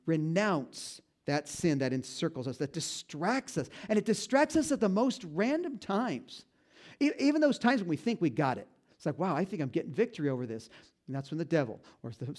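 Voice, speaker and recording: A man preaching a conversational sermon, joking at his own expense and slowing down to stress key points. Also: a little mellow. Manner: folksy, self-deprecating humor with frequent restarts; slows down and drops low for emphasis on key points. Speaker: pastor.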